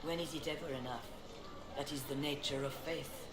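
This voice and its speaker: A woman playing a proud, weary senior cleric in a fantasy video game, speaking formally with measured reproach. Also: deep voice, steady tone, over a noisy crowd, lightly acted. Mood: resigned, doubting. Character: stern, weary high-ranking cleric woman, proud and doubting. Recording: echoey, noisy outdoor ambience.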